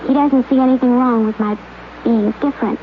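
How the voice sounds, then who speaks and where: A woman's voice: a little high, fairly even intonation, fairly quick, close to an everyday voice. An actress playing a shy, trusting young woman. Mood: tender. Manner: hesitant, soft-spoken, stumbles over words. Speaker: radio drama actress. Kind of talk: 1950s radio acting. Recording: tinny, hissy old radio broadcast.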